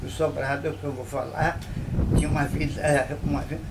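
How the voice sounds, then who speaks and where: Older man, unhurried storyteller. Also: a little high, flat delivery, creaky, heavy background noise. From Bahia, Brazil. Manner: unhurried, with frequent tag questions. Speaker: local storyteller interviewee. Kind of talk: reminiscing interview. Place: historic old mansion.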